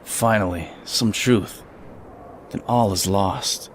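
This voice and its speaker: A man's voice: on the low side, slow, a little hiss or hum. An actor playing a grim, resolute gunman in an old-school radio drama, solemn and determined. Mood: resigned, determined. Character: grim, resolute lone gunman, husband and father.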